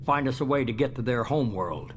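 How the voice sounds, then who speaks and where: Casting: male actor as calculating older intelligence boss for a video game, measured authority, mid-pitched, with noise behind him. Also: expressive, close to an everyday voice. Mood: grave, urgent. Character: shadowy, calculating older male spymaster giving covert orders.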